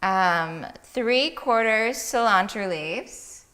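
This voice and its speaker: A woman's voice: medium pitch, animated, drawn-out words, a bit bright. A woman hosting a cooking segment, upbeat and laughing often as she walks through a recipe. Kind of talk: casual cooking-show chat.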